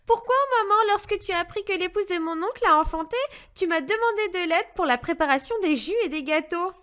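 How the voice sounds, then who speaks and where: A woman voicing a lively, inquisitive little girl in a French children's cartoon, expressive and childlike. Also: very high-pitched, fairly quick. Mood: complaining.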